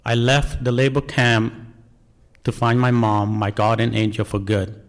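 Male, forties, Cambodian accent, medium pitch. Earnest, deliberate delivery of a prepared personal story in second-language English. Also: level tone. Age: 40s. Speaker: National Guard member telling life story.

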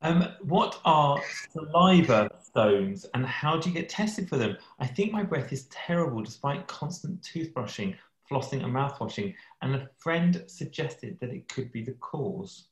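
A man's voice: mid-range voice, big pitch swings, measured pace, few pauses, reverberant, rough recording. A man hosting a medical podcast, chatting casually and reading out listener questions to his guest. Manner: casual, conversational, with fillers. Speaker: podcast host and doctor.

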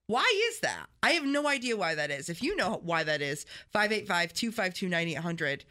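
A woman's voice: fairly low pitch, very animated, normal speed, bright, forceful tone. A woman co-hosting a radio show, chatting casually and rambling with lots of fillers. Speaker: radio co-host. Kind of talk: casual morning radio chat.

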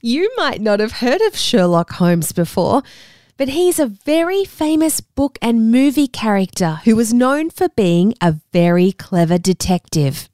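A woman's voice: on the high side, very animated, unhurried, dark tone, no echo, studio quality. An Australian woman hosting a children's show, reading a script in an upbeat, encouraging way that speaks straight to young listeners. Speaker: children's podcast host. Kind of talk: scripted kids' show presenting.

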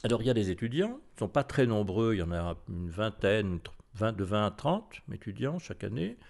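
Older man, French accent, gravelly low voice, hesitant interview guest. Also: very animated, a little fast, a little mellow. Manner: hesitant, many fillers and restarts. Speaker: university lecturer. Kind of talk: relaxed interview talk.

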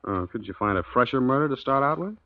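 A man's voice: mid-range voice, animated, fast, no echo, natural voice. Guy playing a jovial slangy reporter buddy from a 40s radio show, backslapping, mid voice, muffled old sound. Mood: breezy, joking. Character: hearty, slangy newspaperman, old army buddy. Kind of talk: 1940s radio acting. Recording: dull vintage 1940s radio recording.

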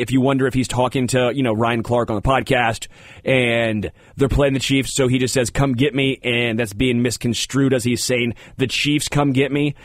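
A man's voice: mid-range voice, no room sound, clean studio sound. An American man hosting a sports talk radio show, slightly congested, speaking informally and emphatically and often repeating himself. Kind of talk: sports talk radio commentary with casual asides.

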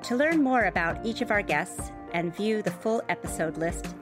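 A woman's voice: mid-range voice, lively intonation, normal speed. A woman hosting a podcast. She reads a scripted introduction and then asks interview questions in a polished, welcoming way. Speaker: podcast host. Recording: music bed under the voice.

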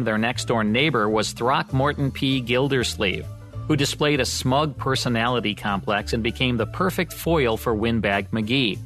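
A man's voice: medium pitch, a bit bright. An American man reading scripted narration as the host of a vintage radio program.

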